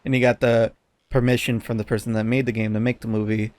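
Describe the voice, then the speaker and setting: A man talks casually as a podcast co-host in a close, dry recording with very little room sound. His delivery is halting, as he often searches for the right word, and his pitch sits in an ordinary middle range. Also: level tone, a little mellow, no echo. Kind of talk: casual chat.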